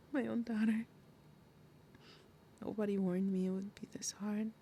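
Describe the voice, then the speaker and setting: A woman playing a fierce, protective demon mother and princess of wrath in an ASMR roleplay, with dramatic, breathy, menacing delivery. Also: on the low side, mellow, slight background noise. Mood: wistful, pained, then sorrowful.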